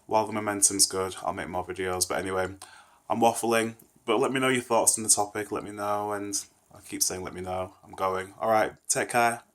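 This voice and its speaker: A man's voice: fairly low pitch, steady tone, a little fast. A man in his thirties with a stuffy nose from a cold, giving a thoughtful, rambling talk to camera. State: congested, nasal from a cold. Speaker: vlogger. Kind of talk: casual reflective rant to camera.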